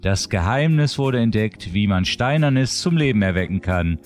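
A man's voice: fairly low pitch, animated, normal speed, a little mellow, faint music behind. A man presenting a roundup of new releases in scripted announcement narration.

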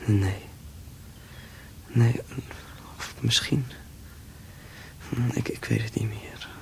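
A frail young man in a radio drama, tender and full of longing. He speaks slowly and haltingly, often in an intimate whisper that crackles into creak. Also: fairly low pitch, fairly even intonation, a little mellow, heavily acted. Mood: drowsy, hesitant. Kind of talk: Dutch radio drama acting.

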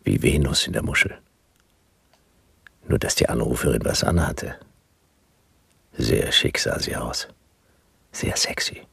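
A man's voice: very deep voice, a little slow, gravelly, long pauses, a bit bright, breathy edge, dry recording, heavily acted. A man playing a world-weary private detective in a radio play, speaking in dry, terse, hard-boiled phrases. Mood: brooding narration. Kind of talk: noir radio play dialogue and first-person narration.

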